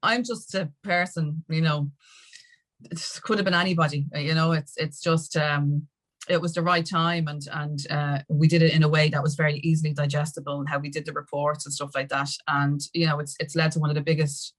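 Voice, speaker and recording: A woman's voice: low-pitched, flat delivery, brisk. A woman with an Irish accent talking casually and emphatically as an interview guest, often dropping in "like" and "you know". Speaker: interview guest, music industry activist.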